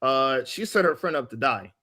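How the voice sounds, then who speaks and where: A man's voice: mid-range voice, animated, moderate pace, bright, forceful tone. A man chatting casually on a podcast panel, giving opinions in an emphatic, colloquial way.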